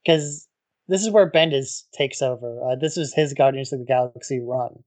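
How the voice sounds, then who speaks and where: A man co-hosting a podcast, talking in a relaxed way with wry asides. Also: mid-range voice, moderate pace. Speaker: podcast co-host. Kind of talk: casual podcast discussion.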